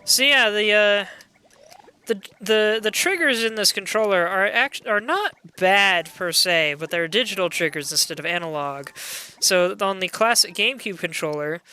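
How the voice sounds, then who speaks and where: A young adult game streamer with a loud voice, chatting casually and excitably while playing and greeting viewers. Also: medium pitch, moderate pace, smooth. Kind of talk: casual live gameplay chat.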